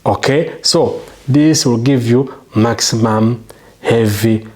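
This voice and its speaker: A man's voice: medium pitch, slow, darkish tone. Man, Ugandan English accent, channel host, how-to tutorial, emphatic, promotional, checks in with viewers.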